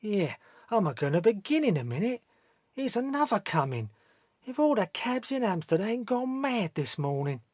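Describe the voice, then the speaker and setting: Woman voicing a stout old bystander, gossipy and rough, sing-song swings in pitch, dry close read. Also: very animated, moderate pace, darkish tone, dry recording. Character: stout old London cabman-type onlooker. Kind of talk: audiobook character voice.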